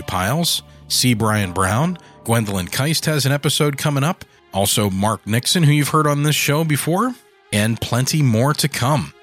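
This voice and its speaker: A man's voice: fairly low pitch, a bit bright. A man hosting a podcast, reading scripted outro announcements and plugs in an informal, upbeat manner. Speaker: podcast host and producer. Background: music.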